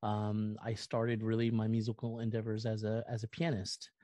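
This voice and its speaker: A man's voice: fairly low pitch, completely flat delivery, normal speed, dark tone, no echo. A middle-aged American man speaking casually as an interview guest, rambling earnestly and effusively about himself. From California, United States. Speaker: podcast guest, musician and content creator. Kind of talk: casual, personal interview talk.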